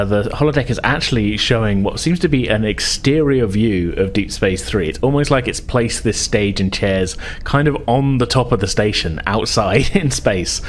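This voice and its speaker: A man narrating a tabletop game as game master, improvising his descriptions in a halting, hesitant way. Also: on the low side, slight background noise.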